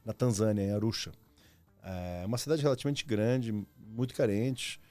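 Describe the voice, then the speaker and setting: A man's voice: fairly low pitch, normal speed, warm tone, no room sound, soft background music. A man, telling his story casually and reflectively as a podcast guest, in slangy, informal speech. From Argentina. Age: 30s. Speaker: podcast guest, former marketing executive.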